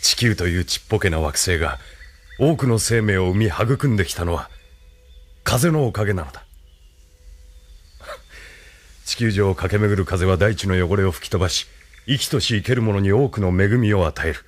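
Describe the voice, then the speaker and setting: Deep-voiced commanding colonel. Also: male voice, expressive, a little hiss or hum. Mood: grave, impassioned. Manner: measured, authoritative, philosophical. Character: commanding, composed male colonel, leader of a space agency. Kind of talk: Japanese audio drama acting.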